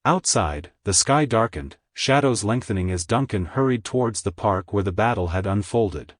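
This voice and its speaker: Man, lowish pitch, scripted story narration, dry close recording, storyteller. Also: animated, normal speed, no room sound.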